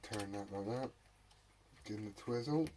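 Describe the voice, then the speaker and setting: A man's voice: lowish voice, normal speed. A British man rambling casually and jokingly to viewers while repairing something, swearing often and muttering to himself. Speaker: hobbyist repairer presenting a video. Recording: noisy home recording with clicks.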